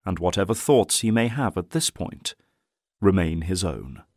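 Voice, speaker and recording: A male narrator tells a story in scripted, dramatic style. His voice is on the low side and recorded close and dry, with no room sound. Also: sing-song, normal speed, gravelly, no room sound.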